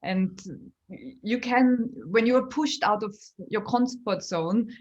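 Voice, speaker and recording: A woman's voice: a little high, takes it slowly, a little mellow, lo-fi sound. A woman comedian from Austria, speaking English as a second language in a chatty interview and often laughing as she tells stories. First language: German. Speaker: comedian, guest. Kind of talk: casual interview chat.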